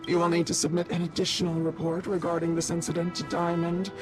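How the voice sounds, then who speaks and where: An actress playing a stern, formal corporate executive chairing a disciplinary meeting in a video game, with a procedural delivery. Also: female voice, on the low side, monotone, fast, music in the background, natural voice. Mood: stern, official. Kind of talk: formal scripted game dialogue.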